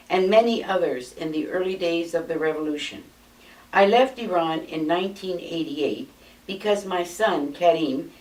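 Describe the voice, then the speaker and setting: An American woman in her eighties, with a fairly low voice, reads her life story from notes in a measured, unhurried manner. She sits in a small room, and the camera's mic is some distance away, so her voice sounds roomy and distant. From United States. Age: 80s. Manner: measured, steady reading from notes. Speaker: memoir narrator. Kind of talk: reading a prepared life story aloud. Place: small indoor room, seated.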